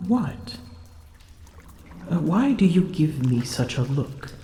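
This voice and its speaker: A woman voicing a smug, slow-spoken dragon. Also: sits low, sing-song, dark tone, echoey room, a little hiss or hum, exaggerated voice. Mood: teasing. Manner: haughty, teasing, slow and deliberate. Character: proud, smug female dragon in human form. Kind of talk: ASMR roleplay.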